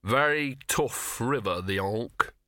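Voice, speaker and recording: A man voicing a hoarse, cynical, drunk small street dog in a storybook, grumbling and lecturing in maudlin self-pity. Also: medium pitch, animated, slow pace, pushed and bright, no room sound, studio quality. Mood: gloomy.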